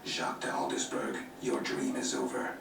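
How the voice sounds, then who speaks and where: A gruff cynical monster hunter, super deep voice, curt and dismissive, game audio far off and roomy. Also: male voice, little pitch movement, moderate pace. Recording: game audio from far off in an echoey room, with music.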